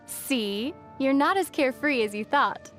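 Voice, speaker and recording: High-voiced, teasing young heroine. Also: female voice, lively intonation, unhurried, loud music behind the voice. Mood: matter-of-fact. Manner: playfully teasing, composed. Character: young female traveler heroine, calm, teasing, quick-witted. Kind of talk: video game character dialogue.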